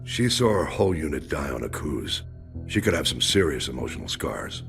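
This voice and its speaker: A man playing a stern, gruff older military commander in a video game, with a gravelly voice. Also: rumbling low, very animated, normal speed, music in the background, heavily acted. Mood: grave, assessing. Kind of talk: video game character acting.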